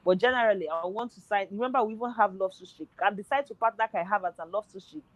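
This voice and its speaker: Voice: medium pitch, fast talker, no echo. Football pundit, hesitant, voice worn thin, dry recording. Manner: hesitant, thinking aloud with many fillers. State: voice tiring, nearly gone. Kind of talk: casual football podcast chat.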